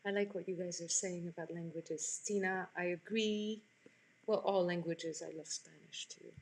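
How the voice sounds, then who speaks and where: A woman's voice: fairly low pitch, steady tone, moderate pace, vocal fry, darkish tone, low-quality recording. A woman in her forties from France, chatting casually with viewers as she draws in a live online class at home. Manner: chatty, answers viewer comments. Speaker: art instructor hosting a live stream. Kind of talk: casual chat while drawing. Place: home room, indoors.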